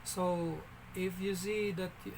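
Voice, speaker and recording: A man with a Filipino accent telling a story in a casual vlog, halting, with frequent restarts and fillers. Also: high voice, flat delivery, noisy background. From Philippines.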